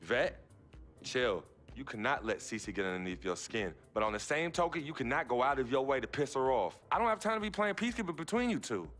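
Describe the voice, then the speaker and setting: An actor playing a calm, firm young pro basketball star in a video game cutscene. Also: male voice, mid-range voice, a little fast, soft background music, natural voice.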